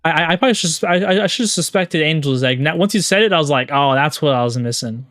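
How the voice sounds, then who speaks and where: A man co-hosting a podcast, explaining things informally in a halting, repetitive way full of filler phrases. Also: a little high, lively intonation, fairly bright tone, no room sound, studio quality. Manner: halting, repetitive, peppered with "you know". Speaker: podcast co-host.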